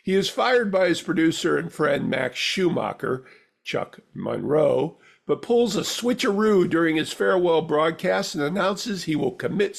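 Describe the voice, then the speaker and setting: A man with a gravelly, husky voice reading a scripted audio theater review as the critic. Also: fairly high pitch.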